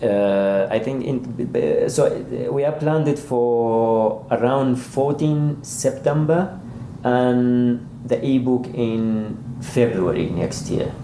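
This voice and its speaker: Guy in an interview, soft dark creaky voice, stops and restarts, roomy echo. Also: medium pitch. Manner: halting, repeats words as he searches for phrasing. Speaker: interviewed author and publisher. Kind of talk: interview conversation. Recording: roomy echo, faint background noise.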